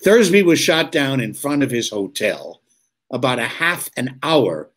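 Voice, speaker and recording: An actor playing a suspicious police lieutenant who questions bluntly and insistently, in 1940s radio drama style. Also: male voice, medium pitch, sing-song, a little slow, a bit bright, breathy edge, exaggerated voice. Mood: accusing.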